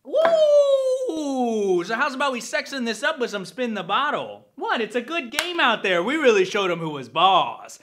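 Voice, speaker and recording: A man playing a rowdy, dazed young football player, loud and bro-ish, in a comedy monologue. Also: very high voice, wildly swooping pitch, a little slow, few pauses, background noise, full character voice. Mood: rowdy, oblivious.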